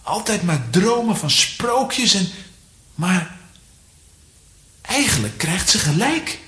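Sceptical, animated young boy. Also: on the low side, slow, full character voice. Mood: concerned. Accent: Flemish. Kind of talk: children's audio drama acting.

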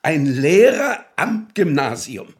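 An actor playing a stern, sceptical state prosecutor in a radio drama, officious, clipped and sarcastic. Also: male voice, on the high side, big pitch swings, takes it slowly. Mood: incredulous, scornful.

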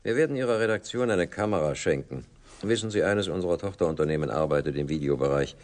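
A man acting a smug, evasive businessman in a German radio drama, gravelly, suave and condescending. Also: sits low, animated, quick pace, darkish tone.

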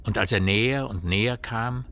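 A man narrating a dark village tale in a grave, literary style for a radio drama. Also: lowish voice, normal speed, a little hiss or hum. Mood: grave, measured.

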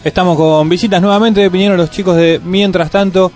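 A man's voice: high-pitched, fairly even intonation, brisk, darkish tone. Man, radio co-host, friendly, music faintly behind. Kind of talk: casual radio interview. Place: radio studio.

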